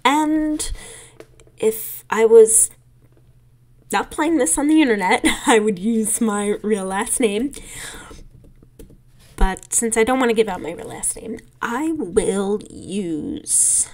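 A young woman with a New York accent talks through a story game at an unhurried, easy pace. She laughs often between lines and reads the on-screen text aloud in a casual, chatty way. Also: a little high, darkish tone. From New York City. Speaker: game creator playing through a demo. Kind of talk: casual game walkthrough commentary.

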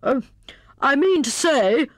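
A woman voicing an excitable, pompous male hare in a children's storybook, with exclamatory, drawn-out, self-important declaiming. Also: high-pitched, no echo, voice pushed up. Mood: severe, flustered. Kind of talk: children's storybook character reading.

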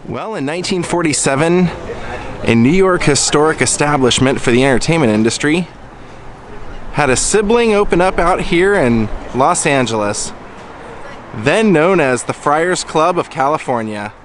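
A man's voice: a little high, lively intonation, moderate pace. A man in his thirties, a travel vlogger talking casually to a handheld camera outdoors, telling a story while his voice starts to go. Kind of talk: casual vlog narration. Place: outdoors on a sunny street. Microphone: handheld camera at arm's length. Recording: outdoor traffic noise behind.

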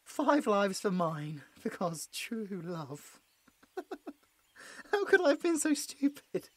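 A woman playing an anguished, guilt-ridden young British male adventurer in an audio roleplay, halting and emotional. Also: mid-range voice, big pitch swings, no room sound. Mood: guilty, resigned. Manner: halting, emotional, breaking into bitter laughs.

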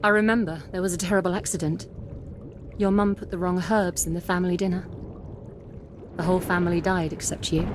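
An actress playing a wise, rueful witch woman in a video game, speaking in a measured, deliberate way. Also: lowish voice, background noise, close to an everyday voice. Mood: gentle, probing. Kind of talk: video game cutscene acting. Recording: game audio with clear background ambience.

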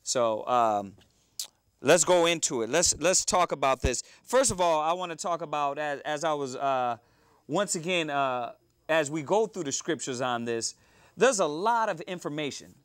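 A man's voice: on the high side, animated, normal speed, clear, resonant tone, no room sound. A man in his thirties hosting a live talk show from a small studio, rambling with fillers and restarts. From Houston, Texas. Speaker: host.

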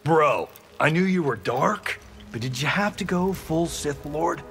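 Cocky wisecracking fighter, lively pitch. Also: male voice, measured pace, gravelly. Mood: mocking. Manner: flippant, slangy teasing. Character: cocky, wisecracking male action-star fighter. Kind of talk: video game character dialogue. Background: game music.

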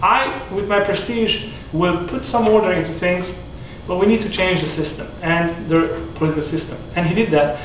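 Male lecturer, forties, high voice, echoey room from a distance, lo-fi. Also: normal speed, hardly any pauses, slight background noise. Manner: animated, gesturing, restarts sentences often. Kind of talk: classroom lecture. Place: classroom in front of whiteboard. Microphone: far camera. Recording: echoey classroom from far off, dull lo-fi.

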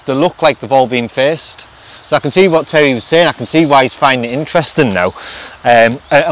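A middle-aged man, outdoors in a forest, speculating and explaining things as he goes in a casual vlog. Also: a little high. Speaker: vlog host restoring a château. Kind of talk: casual walk-and-talk vlog narration.

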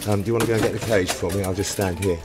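A man rescuing a fox from a restaurant stairwell, chatting calmly to the camera and coaxing the animal softly. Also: fairly low pitch, racing, over loud music. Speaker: wildlife rescuer. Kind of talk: on-the-job commentary.

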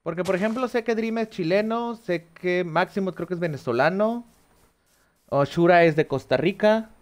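A man's voice: high-pitched, normal speed. A young man with a Mexican accent chatting casually with viewers while playing, thinking aloud and swearing lightly, on a headset at home. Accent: Mexican Spanish. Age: young adult. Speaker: streamer. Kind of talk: casual gameplay chat with viewers. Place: small room at home.

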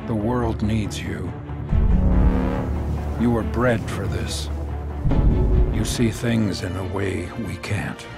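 An actor playing a stern older military commander in a film trailer, with a gravelly voice. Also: male voice, lowish voice, fairly even intonation, takes it slowly, pauses often. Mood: grave, urgent. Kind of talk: dramatic film trailer dialogue. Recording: loud trailer music over the voice.

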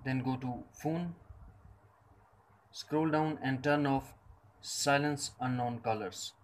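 A man narrates a scripted tech tutorial at a slow pace, with a mid-pitched voice. He gives instructions step by step, breaking them into short, separate phrases. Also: a little hiss or hum. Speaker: tutorial presenter.

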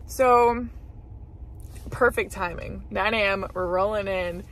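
A woman's voice: takes it slowly, a bit bright. An American woman in her thirties chatting casually and playfully to a vlog camera held at arm's length in a truck. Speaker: vlogger and host. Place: inside a moving pickup truck. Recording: vehicle rumble under the voice.

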